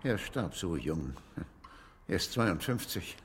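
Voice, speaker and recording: A blustering, animated old gentleman. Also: sits low, slow, in a large hall, a little hiss or hum, exaggerated voice. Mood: mournful, admiring. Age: older. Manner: brusque, blustering, imperious. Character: irascible elderly English gentleman author, gruff, easily nostalgic. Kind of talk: German radio drama acting.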